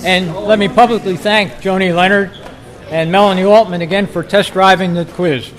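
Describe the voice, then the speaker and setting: A man's voice: high-pitched, steady tone, normal speed, rough recording. A man hosting a quiz live at a club meeting, calling out to the members in an amateur recording.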